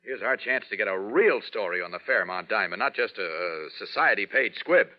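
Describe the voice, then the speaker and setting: Brisk, take-charge vintage radio reporter played by a man. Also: lowish voice, lively intonation, lightly acted. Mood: businesslike. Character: brisk confident male newspaper reporter taking charge. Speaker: radio drama actor. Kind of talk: 1940s radio acting. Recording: dull lo-fi vintage radio recording.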